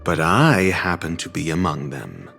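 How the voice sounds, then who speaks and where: A haughty male scholar from a video game, coolly exasperated and erudite. His delivery is slow and deliberate, with an animated pitch that swings through dry, condescending phrases. Music plays behind the formal, sardonic speech. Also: vocal fry, heavily acted. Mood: deliberate, cutting. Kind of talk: video game cutscene voice acting. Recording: game dialogue over background music. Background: background music.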